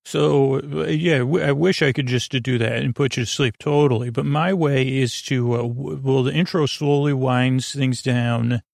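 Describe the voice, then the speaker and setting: A man with a gravelly voice hosting a sleep podcast in a rambling, goofy monologue, halting and interrupting himself as he wanders off on digressions. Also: mid-range voice, fairly even intonation, darkish tone, dry recording, clean studio sound. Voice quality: creaky, gravelly. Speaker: podcast host.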